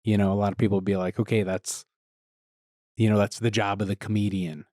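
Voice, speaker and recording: A man hosting a podcast interview, talking in a relaxed, laid-back way and laughing often. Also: on the low side, brisk, no room sound, studio quality. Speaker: podcast host. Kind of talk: casual interview chat.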